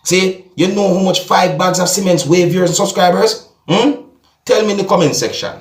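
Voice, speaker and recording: A Jamaican man commenting on video clips for his vlog, talking deliberately and addressing his viewers over and over. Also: high-pitched, roomy sound. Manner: repetitive, addresses "viewers and subscribers", slow and deliberate. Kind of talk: casual commentary.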